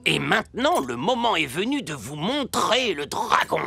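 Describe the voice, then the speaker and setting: Creaky, theatrical castle tour guide. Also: male voice, high-pitched, very animated, moderate pace, over music, full character voice. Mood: informative, formal. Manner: formal, theatrical announcing. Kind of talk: cartoon dub acting.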